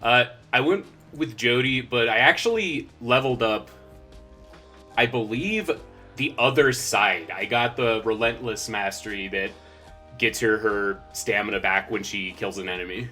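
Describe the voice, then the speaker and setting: A man's voice: mid-range voice, measured pace, pushed and bright, soft background music. A man making casual, wry game commentary and chatting in short interjections.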